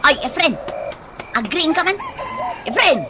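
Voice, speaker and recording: Scolding Ilocano Filipino wife: high, swooping delivery, comic radio drama, noisy background. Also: female voice, animated, a little slow. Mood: exasperated shouting. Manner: scolding, exclamatory. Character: loud nagging wife scolding her sleepy husband.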